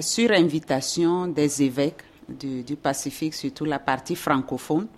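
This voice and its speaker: A woman in her fifties from Togo, answering as an interview guest in a measured, explanatory way with short halting phrases. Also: low voice, darkish tone. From Togo, born in Ghana.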